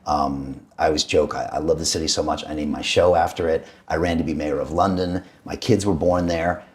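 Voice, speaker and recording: A man's voice: rumbling low, fairly even intonation, a little fast. A man hosting an interview, talking casually and emphatically, often repeating words. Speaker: podcast host.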